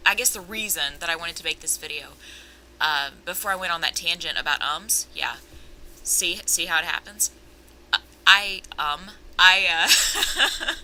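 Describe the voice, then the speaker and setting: A woman's voice: on the low side, sharp tone, slight background noise, rough recording. A young woman vlogging casually about herself with a sore, swollen throat, rambling and self-aware, drifting into tangents. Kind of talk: casual personal vlog.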